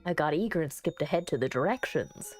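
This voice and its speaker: A woman's voice: sits low, animated, a little fast, soft background music. Woman reading as an earnest, solemn visual novel narrator. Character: earnest, anxious narrator summoning a ghost girlfriend.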